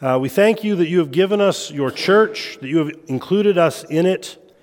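A man with a head cold giving an informal lecture at a midweek church meeting, relaxed and given to self-deprecating jokes. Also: high voice, moderate pace. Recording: long hall reverb.

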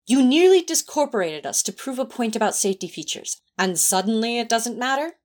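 A woman's voice: fairly high pitch, very animated, fairly quick, a little mellow. A woman playing a prim, fussy but tender male angel, speaking politely and precisely in a fan audio drama. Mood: indignant.

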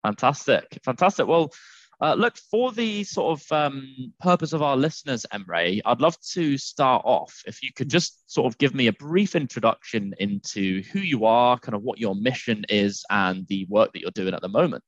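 A man's voice: mid-range voice, expressive, normal speed, no echo. A man hosts a podcast and interviews a guest in a mid-range voice. He is friendly and fills his questions with little hedging phrases. The recording is dry and a bit muffled. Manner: friendly, uses fillers like "sort of". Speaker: podcast host.

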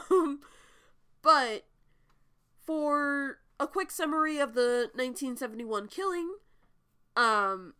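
A woman's voice: high voice, expressive, takes it slowly, long pauses, pushed and bright. Congested young woman hosting a podcast. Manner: chatty with frequent ums, laughs between lines. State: congested from a cold. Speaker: podcast host. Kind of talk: casual true crime podcast update.

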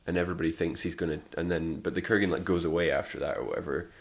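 A man's voice: deep voice, fairly even intonation, very fast. A man in casual chat, retelling a movie plot in a loose, meandering way with laughs and quoted lines.

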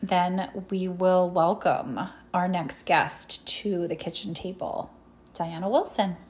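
A woman co-hosting a casual podcast, gushing warmly about her guest and filling her talk with "like". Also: on the low side, steady tone, takes it slowly, breathy edge. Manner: gushing, uses many "like" fillers. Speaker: podcast co-host.

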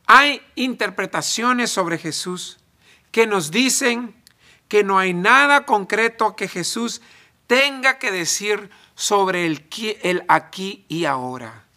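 A man from El Salvador, preaching a sermon in deliberate phrases with emphatic pauses. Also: high-pitched, animated, bright, forceful tone.

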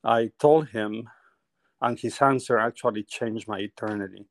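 A man's voice: mid-range voice, moderate pace, no room sound. A middle-aged man from Peru, a painter telling his life story as a guest in a conversational interview, often laughing as he talks.

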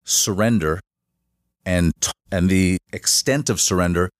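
A man with a very low voice talks as a guest on a podcast. He explains at length in a halting way, full of restarts and fillers. The sound is dry, with no room echo. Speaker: guest, shamanic facilitator. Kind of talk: casual podcast interview.

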